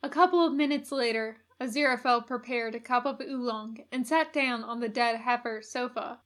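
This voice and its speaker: Bright, higher-pitched woman reading a story. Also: moderate pace. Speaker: audiobook reader. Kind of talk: expressive scripted narration of fan fiction.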